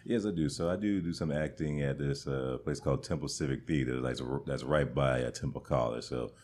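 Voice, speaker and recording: A young man with a booming, extremely deep voice answers questions on a casual podcast. He pauses often and hunts for words with plenty of ums and uhs. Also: a little mellow. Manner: hesitant, searching for words with many fillers. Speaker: comedian guest.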